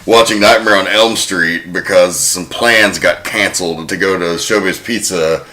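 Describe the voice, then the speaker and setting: A man hosting a podcast in casual chat, telling stories in a lively, profane way. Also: sits low, measured pace, very noisy. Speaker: podcast host.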